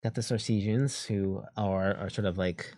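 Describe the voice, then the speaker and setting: A man's voice: fairly low pitch, steady tone, moderate pace, mellow, dry recording. A man co-hosting a tabletop game stream, chatting casually and reading out options with loose, hesitant explanations. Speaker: co-host of a tabletop game livestream.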